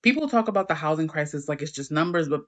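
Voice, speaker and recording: A speaker giving steady, reflective commentary. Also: mid-range voice, fast talker.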